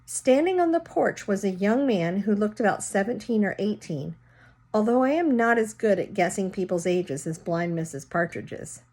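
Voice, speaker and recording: A woman's voice: mid-range voice, animated, normal speed, a little mellow. A woman reading a children's story aloud, narrating and casually explaining words as she goes.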